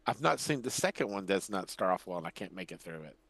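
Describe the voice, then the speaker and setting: An older man hosting a podcast in casual chat, rambling and pausing as he recalls things. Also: low-pitched, big pitch swings, creaky, fairly bright tone, breathy edge, dry recording. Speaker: podcast host.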